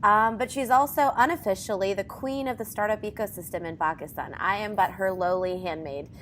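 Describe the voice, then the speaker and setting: A woman's voice: a little fast, a bit bright. A woman hosting a casual interview, talking in an informal, enthusiastic and chatty way. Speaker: interviewer, host. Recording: faint background noise and rumble.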